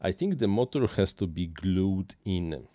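A man in his thirties with a nasal voice, talking in a halting, improvised way while building at a home workbench into a close dynamic mic. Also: sits low, lively intonation, warm tone, no room sound. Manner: halting, many uhs and restarts, non-native phrasing. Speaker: RC hobby streamer building a model plane. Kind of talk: improvised live-stream chat while building. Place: small home workshop desk, indoors. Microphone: dynamic microphone on boom arm, headphones.

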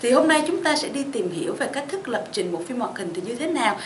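A woman's voice: fairly high pitch, big pitch swings, fast. A young woman giving a scripted presentation to camera as an education consultant. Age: young adult. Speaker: study-abroad consultant presenting a promotional programme. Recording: echoey room with faint noise.

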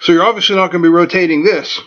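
A middle-aged man walks viewers through a weld in his home workshop, plainspoken and folksy, with a slightly high voice. The camera audio is dull and lo-fi, picked up from a few feet away. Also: steady tone, racing, fairly bright tone. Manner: plainspoken and folksy, jokes at his own expense. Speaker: welding instructor and host. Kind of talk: casual how-to demonstration. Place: home welding workshop, indoors.

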